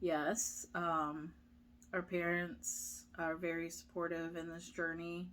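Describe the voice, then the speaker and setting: A woman's voice: fairly low pitch, flat delivery, slow, a bit bright, slight background noise. A young woman vlogger chatting casually, reading viewer questions aloud and answering with many fillers. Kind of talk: casual chat.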